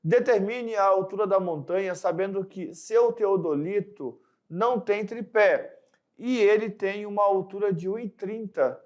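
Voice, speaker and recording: A man's voice: high voice, unhurried. A man in his forties co-teaching a televised math lesson in a joking, conversational way. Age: 40s.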